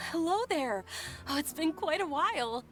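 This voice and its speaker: A sheltered young lady of wealth in a game, bright and high-pitched for a woman. She speaks gently and coaxes her friend, game music behind her. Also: expressive, a little slow. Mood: gentle. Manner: gentle, pleading. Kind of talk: game voice acting.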